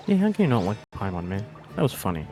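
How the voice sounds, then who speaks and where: A male game streamer with a low voice and hugely varied pitch, commenting in an informal, slangy way. Game music is clearly audible behind him. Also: big pitch swings, quick pace. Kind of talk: casual playthrough commentary. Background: video game music.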